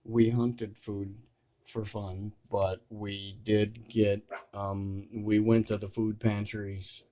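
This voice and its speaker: A man's voice: on the low side, flat delivery, slow, warm tone, a little hiss or hum. A man talking casually and unscripted to viewers, rambling and hesitant, repeating and correcting himself, with some blunt language. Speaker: video creator.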